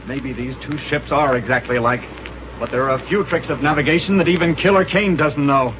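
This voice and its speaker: An actor playing a confident male space hero, brisk and authoritative, in 1930s radio serial style. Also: a little high, lightly acted. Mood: reassuring, resolute. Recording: dull, noisy 1930s radio recording.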